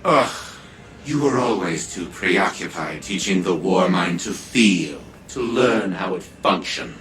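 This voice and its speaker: An actor playing a haughty, condescending old genius patriarch in a video game, theatrical and sardonic. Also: male voice, sits low, lively intonation, slow, crowd noise, full character voice. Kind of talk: scripted video game acting.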